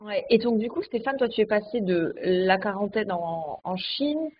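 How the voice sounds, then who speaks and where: A woman's voice: mid-range voice, lively intonation, normal speed. A woman hosts a news discussion over a video call, putting questions to correspondents with frequent hesitations. Her earphone mic gives a dull sound with a faint hiss. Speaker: news show host. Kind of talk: moderating remote interview. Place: home room, indoors.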